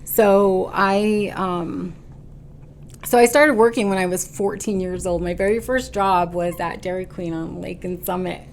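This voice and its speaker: A woman with a low voice and a gravelly, creaky edge talks as a podcast guest. She tells her story in an earnest, halting way, full of "um" and "you know." There is faint noise under her voice. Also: steady tone. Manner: stubborn, determined. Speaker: podcast guest, boutique owner. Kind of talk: casual conversational interview.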